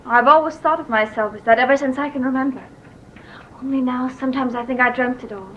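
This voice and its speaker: A hesitant, earnest young bride in an old television soap. Her voice is fairly high. There is faint noise on the aged soundtrack. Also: fast talker, a bit bright, low-quality recording. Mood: wistful, grateful. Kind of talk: 1960s television soap acting.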